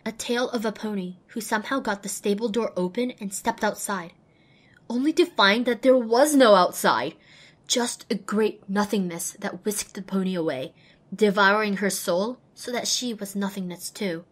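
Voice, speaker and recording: A woman's voice: mid-range voice, moderate pace, close to an everyday voice. A woman playing a small, anxious outcast pony mare who tells her own story expressively and introspectively in an audio drama. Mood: wry, dejected. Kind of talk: first-person dramatic audiobook narration.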